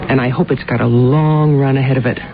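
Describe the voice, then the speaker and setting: A woman's voice: a bass voice, fairly even intonation, brisk, music in the background. An actress playing a breezy, confident, forward young woman reporter in a 1970s radio drama. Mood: admiring. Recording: dull old radio recording over crowd chatter.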